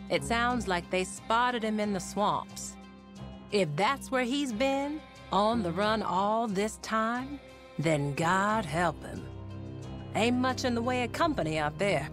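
An actress playing a weary frontier woman with a grim drawl. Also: medium pitch.

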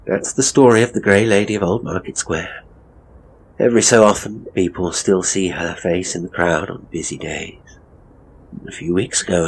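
A man playing a hapless, theatrical ghost tour guide in a horror audio drama, British, uneasy and hesitant, with dramatic pauses. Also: deep voice, creaky, a little hiss or hum, rough recording. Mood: eerie, musing. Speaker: horror storyteller and voice actor. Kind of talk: dramatic first-person audio drama monologue.

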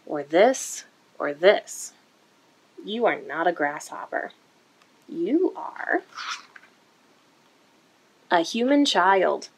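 A woman reading a children's picture book aloud in an expressive, deliberate way for young listeners, recorded indoors. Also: medium pitch, rough recording. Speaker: children's story-time reader for a museum. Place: indoor room.

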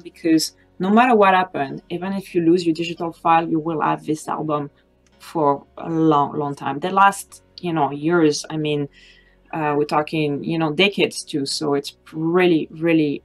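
A woman with a French accent speaks in a slightly low register. She gives informal, earnest advice to camera in a conversational way, with non-native English phrasing, as a working photographer would in a vlog. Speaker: wedding photographer. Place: small home office, indoors.

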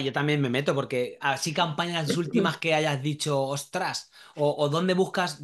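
A middle-aged man with a Spain Spanish accent, hosting a casual, chatty interview over a video call. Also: on the high side. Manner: informal, chatty. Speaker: podcast host. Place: small home room, indoors.

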